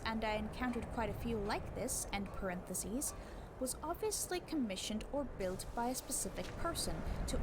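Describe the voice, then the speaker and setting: A woman reading a book aloud as scripted narration, in a measured, scholarly way with deliberate emphasis. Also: a little high, very noisy. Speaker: book narrator giving a voice demo.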